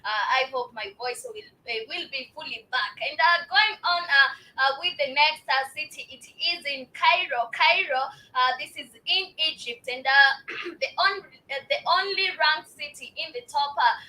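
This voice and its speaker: A Kenyan woman with a strained, raspy voice hosting a live show, commenting on news with many fillers and restarts. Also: high voice, moderate pace, sharp tone, distant mic, low-quality recording.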